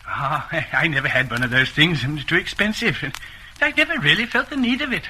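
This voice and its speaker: An actor playing a genial, wistful old shopkeeper in a radio drama, rambling and hesitant. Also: male voice, a little high, animated, moderate pace, bright, forceful tone, slight background noise. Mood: wistful, chatty. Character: chatty, genial old widowed junk shop keeper.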